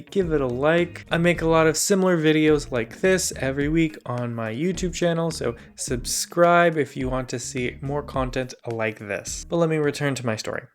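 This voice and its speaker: A man who grew up partly in Bulgaria gives a calm, scripted, reflective narration about learning languages for his channel. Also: fairly high pitch.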